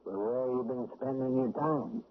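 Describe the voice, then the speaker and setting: A man's voice: mid-range voice, moderate pace, close to an everyday voice. A shrewd, stern rural sheriff, played by an actor, who drawls his questions in a folksy way. He belongs to a 1970s radio mystery. The sound is tinny and thin like an old broadcast, with a faint hiss under it. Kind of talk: 1970s radio drama acting.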